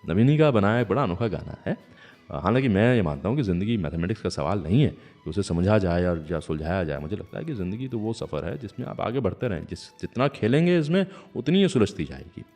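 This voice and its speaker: A man's voice: lowish voice, very animated, quick pace, darkish tone, long reverb tail. A man hosting a radio show, telling stories in a conversational way. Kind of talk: conversational storytelling radio presentation. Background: music.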